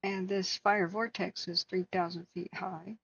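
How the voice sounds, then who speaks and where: A hoarse woman hosts a casual livestream, her voice husky and worn after long hours on air. She speaks earnestly and often stops to answer viewers by name. The sound is a little dull and lo-fi. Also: mid-range voice, level tone, measured pace, a bit bright. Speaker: wildfire tracking livestream host.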